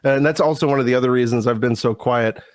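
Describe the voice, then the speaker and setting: A man's voice: medium pitch, quick pace, dry recording. Man, podcast co-host, stuffy and congested, wry exasperated tone, dry sound. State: congested from a sinus infection. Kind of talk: casual political chat.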